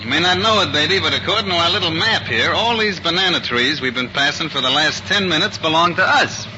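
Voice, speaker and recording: Actor as a slangy crook, noisy old radio. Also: male voice, fairly high pitch, lively intonation, brisk, lightly acted. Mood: boastful delight. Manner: slangy sweet-talking. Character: cocky, affectionate small-time male crook. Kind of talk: 1940s radio crime drama acting. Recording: dull, noisy 1940s radio recording.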